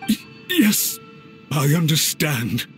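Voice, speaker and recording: An actor playing a meek, cowed servant in a video game, speaking in a halting, deferential way. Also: male voice, a little high, big pitch swings, soft background music. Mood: cowed, apologetic.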